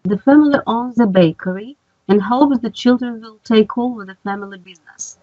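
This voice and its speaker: Woman in her thirties, adult student, careful slow reader, dry dull call audio. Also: on the high side, dark tone, no echo. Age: 30s. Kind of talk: reading aloud in class. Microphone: video call.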